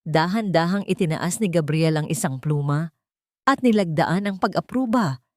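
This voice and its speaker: A woman's voice: on the low side, normal speed, no room sound, clean studio sound. A woman with a Filipino accent narrating a dramatic scripted story. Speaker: narrator of audio stories.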